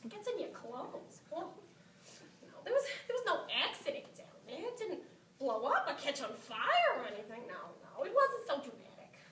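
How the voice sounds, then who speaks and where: A woman acts an elderly lady in a stage monologue, squeamish, evasive and dismissive. The voice is bright and animated, heard from a distance in a roomy live recording with some noise. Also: high-pitched, moderate pace, heavily acted. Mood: disgusted, evasive. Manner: disgusted, dismissive, conversational. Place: stage in a hall.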